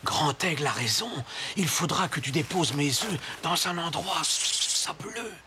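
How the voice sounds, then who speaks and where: A man's voice: a little high, animated, unhurried, pushed and bright, slight background noise. A man voicing a solemn, concerned snake parent in a cartoon. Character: anxious red-headed snake parent guarding its eggs. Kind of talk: French animated series dubbing.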